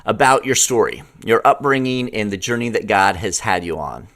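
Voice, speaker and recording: A man's voice: on the low side, sing-song, normal speed, vocal fry, a bit bright. A man hosting an interview podcast, presenting introductions and putting questions to a guest. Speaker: podcast host. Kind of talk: scripted introduction, then interview.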